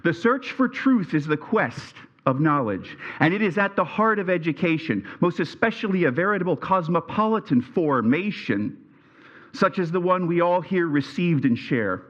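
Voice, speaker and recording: A man's voice: fairly high pitch, animated, normal speed, fairly bright tone, muffled recording. A middle-aged man from Pennsylvania with a Pennsylvania Dutch accent, giving a prepared speech at a reunion and stumbling over his words a little. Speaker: alumni speaker. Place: reunion event hall.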